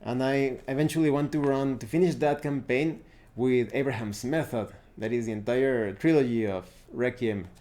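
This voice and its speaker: Mellow-voiced man reviewing tabletop games. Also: medium pitch, moderate pace. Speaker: tabletop roleplaying game reviewer. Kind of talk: casual unscripted review talk to camera.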